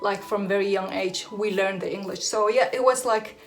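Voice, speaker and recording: A woman's voice: medium pitch, fairly even intonation, moderate pace, soft background music. A young woman from Iraq whose first language is Aramaic, chatting casually to camera as a hair and beauty vlogger, laughing often and restarting her sentences.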